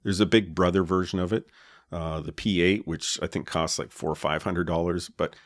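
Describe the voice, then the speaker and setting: A man with a low voice, co-hosting a podcast and explaining his gear in a relaxed way, with many fillers, on a dynamic mic with a pop filter. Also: normal speed, dry recording, studio quality. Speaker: podcast co-host. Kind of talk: casual chat about podcast gear. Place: home podcast setup. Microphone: dynamic podcast mic with pop filter and foam cover.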